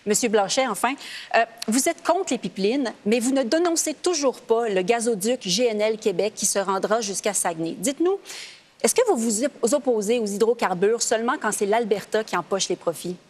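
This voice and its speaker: A woman with a fairly high voice questions debate candidates as a journalist. Her questions are pointed and probing. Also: expressive, moderate pace. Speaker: journalist panelist.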